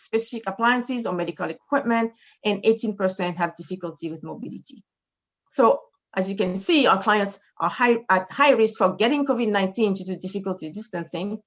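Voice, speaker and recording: Woman with a French Haitian accent. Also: mid-range voice. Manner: measured, formal, reading data with occasional fillers. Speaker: medical director. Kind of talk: conference presentation. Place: in front of a brick wall indoors.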